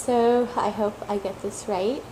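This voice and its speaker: Slow, deliberate woman speaking English as third language. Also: medium pitch, steady tone, noisy background. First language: not English; English is her third language. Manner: repeats words for emphasis, searches for words. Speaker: tarot reader. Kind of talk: slow reflective monologue.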